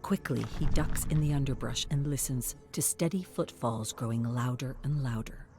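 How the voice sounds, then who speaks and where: A man reading scripted story narration in a measured, even storytelling delivery. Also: medium pitch.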